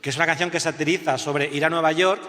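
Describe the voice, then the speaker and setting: A man's voice: fairly high pitch, steady tone, fast, pushed and bright. A man hosting a live podcast on stage, telling anecdotes and laughing at them. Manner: storytelling, laughs at his own anecdotes. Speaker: podcast host. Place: stage before a live audience. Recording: long hall reverb.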